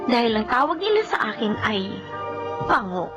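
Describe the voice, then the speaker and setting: An actress playing a vain, sharp-tongued young office woman who talks in a sarcastic, boastful way. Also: fairly high pitch, big pitch swings, normal speed, muffled recording, over music. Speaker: office worker. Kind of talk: audio drama acting.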